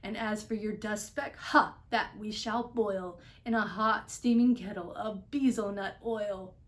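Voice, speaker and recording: A woman's voice: medium pitch, steady tone, unhurried, lightly acted. A woman voicing a bossy, scornful big female kangaroo in a storybook, with a snapping, pompous manner. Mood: threatening.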